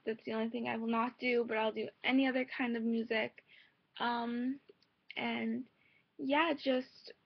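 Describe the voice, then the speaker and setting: A young woman chatting casually and informally to her viewers in a rambling way, recorded on a laptop in a bedroom. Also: a little high. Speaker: amateur singer and vlogger. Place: bedroom, indoors. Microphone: laptop's built-in mic at arm's length. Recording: dull lo-fi laptop microphone.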